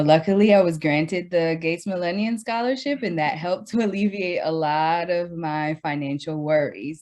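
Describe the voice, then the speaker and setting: A woman in her thirties, from the Dominican Republic and raised in Brooklyn, tells her story as a guest on a radio show. Her voice is very low for a woman. She talks in a conversational way, with "you know" fillers and restarts, and her pace shifts between quick and slow. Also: darkish tone. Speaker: educator, guest. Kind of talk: radio interview.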